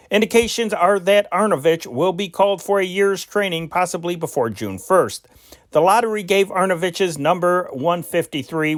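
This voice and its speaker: A man reading old newspaper sports and news stories aloud in an amateur, homespun way, with small slips and restarts and a drop in pitch at the end of each story. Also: no room sound, studio quality. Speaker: news reader, channel host.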